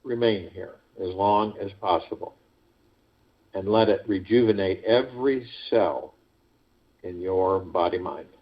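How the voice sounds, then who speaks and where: A man hosting a guided meditation call, speaking in a measured, deliberate way with pauses between phrases, over a phone conference line. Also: fairly low pitch, slightly rough. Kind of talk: guided meditation talk, reading prepared spiritual text. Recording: muffled, lo-fi conference call audio.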